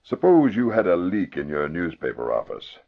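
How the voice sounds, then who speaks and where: Grave confiding official, deep and husky, dated radio sound. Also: male voice, huge pitch swings, moderate pace, heavily acted. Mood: grave, troubled. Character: grave, confiding male authority with a dilemma. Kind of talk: 1940s radio acting. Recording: muffled vintage radio broadcast.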